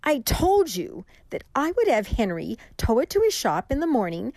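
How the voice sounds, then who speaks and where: A woman's voice: fairly high pitch, big pitch swings, normal speed, darkish tone, no echo, rough recording. A woman playing a haughty, penny-pinching customer, curt and scolding, in a radio mystery drama. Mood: indignant.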